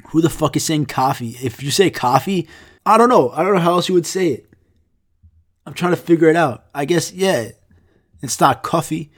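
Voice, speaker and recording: A young man hosting his own podcast while sick, rambling casually with lots of swearing and deadpan jokes. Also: medium pitch. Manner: profane, rambling, deadpan jokey. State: sick, congested. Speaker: podcast host.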